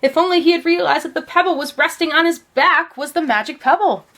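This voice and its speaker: A woman reading a children's picture book aloud, with expressive, deliberate storytelling phrasing. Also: high voice. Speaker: storybook reader.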